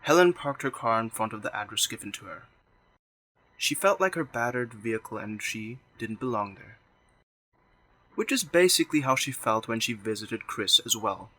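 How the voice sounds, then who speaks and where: A man's voice: medium pitch, expressive, moderate pace, sharp tone, faint music behind, rough recording. A man narrating a scripted drama story, reading in the third person as narrator.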